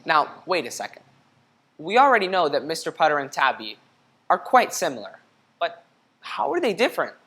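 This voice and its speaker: Low-voiced woman reading a children's story. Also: breathy edge. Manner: teacherly, expressive, pausing to ask questions. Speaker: storybook reader. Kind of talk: children's read-aloud with questions to listeners.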